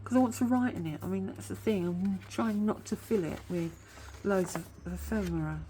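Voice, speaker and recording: A woman's voice: mid-range voice, normal speed, warm tone, slight background noise. A woman chatting casually as a craft vlogger, rambling on with a slightly whiny voice because of a sore tooth. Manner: chatty, rambling, admits to waffling. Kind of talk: casual craft show-and-tell chat.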